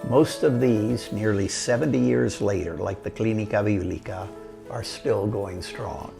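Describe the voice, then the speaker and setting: Older man with a gravelly low voice. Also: measured pace, music in the background. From Costa Rica. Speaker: businessman telling his life story. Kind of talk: prepared autobiographical narration.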